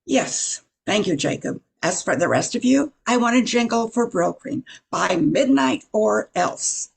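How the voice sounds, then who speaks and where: A woman playing a bossy, demanding office boss with a raspy, imperious delivery in a radio comedy. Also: mid-range voice, lively intonation, a little slow, exaggerated voice. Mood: imperious, irritated. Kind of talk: old-time radio comedy acting.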